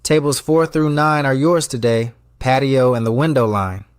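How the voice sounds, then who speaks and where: A man's voice: medium pitch, moderate pace, clean tone, no room sound, close to an everyday voice. A man playing a commanding restaurant boss in an audio drama, with a clipped, dryly funny delivery. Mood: brisk, commanding. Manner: commanding, clipped, dry humour. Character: brisk, wry male restaurant boss running a tight ship.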